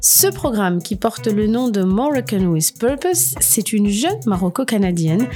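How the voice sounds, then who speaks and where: A woman hosting a radio interview, asking measured, guiding questions. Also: medium pitch, very animated, warm tone, soft background music. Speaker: radio host.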